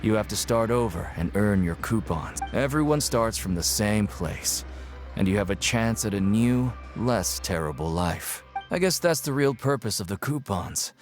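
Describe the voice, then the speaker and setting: Man playing a low-voiced, cynical prison inmate. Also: expressive, normal speed, natural voice. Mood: matter-of-fact, reflective. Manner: curt, dismissive, streetwise, jaded. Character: gruff, cynical male prison inmate working as guide. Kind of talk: video game character acting. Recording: game music behind the voice.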